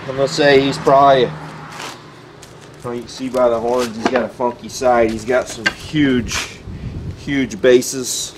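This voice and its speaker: A man's voice: medium pitch, steady tone, a little slow, background noise. A man working as a taxidermist, talking casually with many fillers as he walks through an outdoor job. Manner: plainspoken, filler-heavy, thinking aloud. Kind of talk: casual on-camera walkthrough. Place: outdoors on concrete by a building.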